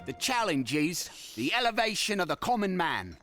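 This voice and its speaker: A ragged, idealistic cartoon revolutionary, impassioned and blunt. He speaks in rhetorical flourishes, with clear music behind him. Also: sits high, very animated, moderate pace. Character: fiery, idealistic, ragged male revolutionary pamphleteer. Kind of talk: animated series voice acting.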